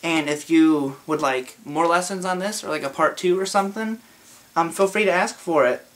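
A man's voice: a little high, a bit bright. Laid-back man with a sore throat. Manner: laid-back, talks slowly and explains step by step. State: sore throat from being sick. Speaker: guitar teacher. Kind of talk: casual guitar lesson. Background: his own guitar playing.

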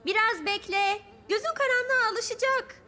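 A woman voicing an eager, meddlesome, cheerful little girl in a children's cartoon, with a fussy, expressive way of talking. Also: very high voice, moderate pace. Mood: determined, cheerful. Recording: music behind the voice.

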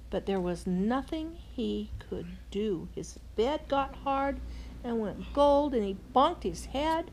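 An older woman reading a children's storybook aloud as a grandmother, expressively, with exclaimed asides. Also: sits high. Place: large indoor lodge room with fireplace. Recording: clearly noisy room, ambient sound.

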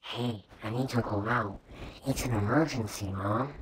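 A man voicing a needy, begging pet dog in a home-made comedy, husky and nagging through a pitch-lowering voice changer. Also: a little slow, poor audio. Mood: urgent pleading. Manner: pleading, nagging, repetitive.

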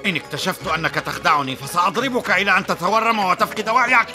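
A woman's voice: medium pitch, fast talker, natural voice. A woman voicing a sly, bossy boy impostor in a dubbed cartoon. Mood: scheming. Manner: bossy, scheming. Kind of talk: Arabic cartoon dubbing. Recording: studio dub over loud music.

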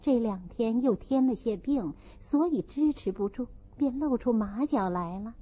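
A woman's voice: fairly high pitch, lively intonation, measured pace, breathy edge, phone-quality sound. A woman voicing a discreet, worried young chambermaid who confides, in an audiobook. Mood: worried, confiding.

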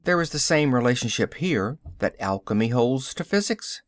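A man's voice: mid-range voice, animated, normal speed, no room sound, studio quality, close to an everyday voice. A man voicing an adult male social scientist who lectures with a confident, explanatory cadence in an audiobook. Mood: lecturing. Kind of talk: audiobook character reading.

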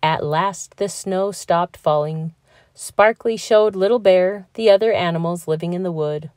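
A woman reading a children's picture book aloud with slow, expressive storytelling. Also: on the low side, dry recording, clean studio sound. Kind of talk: read-aloud storybook narration.

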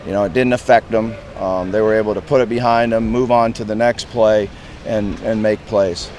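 A man in his fifties, a head football coach, answering reporters outdoors at a stadium in a plain, measured way with lots of "you know" fillers. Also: on the low side, steady tone, a little mellow, slight background noise. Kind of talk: post-game press interview.

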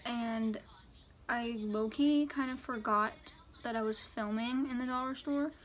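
A teenage girl vlogging in a casual, jokey way, her voice a little raspy from short sleep, filmed on a phone at arm's length in a parked car. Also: fairly high pitch, steady tone, a little slow, slight background noise. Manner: chatty, jokey, lots of "like" and "literally". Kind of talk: casual vlog chat. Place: parked car on a rainy day. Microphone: phone held at arm's length.

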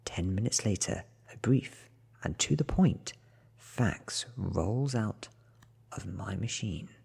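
A man in his thirties reading a book softly for ASMR, hushed and deliberate, sometimes dropping toward a whisper. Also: lowish voice, lively intonation. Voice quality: soft, breathy, husky. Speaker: audiobook reader.

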